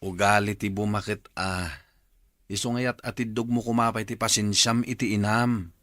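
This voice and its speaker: An actor playing a concerned husband who questions and advises, with an Ilocano accent, in a radio drama. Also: male voice, fairly low pitch, fairly even intonation, normal speed, a bit bright, no room sound, clean studio sound, natural voice. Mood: advising.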